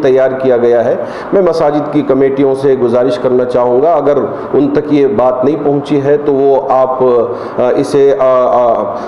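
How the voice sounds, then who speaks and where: A man's voice: on the high side, mellow. A man giving a spoken public appeal as a community leader. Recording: dull, echoey room, faint noise.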